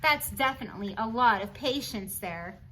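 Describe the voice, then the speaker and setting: A woman with a high, bright voice reads a children's storybook aloud. She is expressive and teacherly, often stepping out of the text with encouraging asides and questions. Some light noise sits behind her voice. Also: moderate pace, rough recording.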